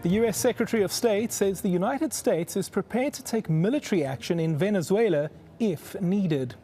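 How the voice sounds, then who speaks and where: A man presenting broadcast news as an anchor, in a formal, measured delivery. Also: high voice, animated, mellow, soft background music.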